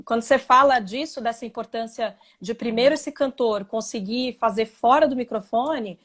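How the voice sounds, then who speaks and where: A woman co-hosting a live online interview, chatty and animated, talking over a video call. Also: fairly high pitch, normal speed. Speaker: co-host.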